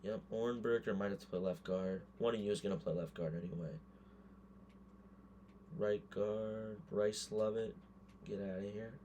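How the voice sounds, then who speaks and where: Male gaming creator, hoarse, deeper side, loose offhand thinking-aloud commentary. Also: fairly even intonation, normal speed, mellow, slight background noise. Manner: thinks aloud, muttering verdicts on players. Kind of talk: casual gameplay commentary.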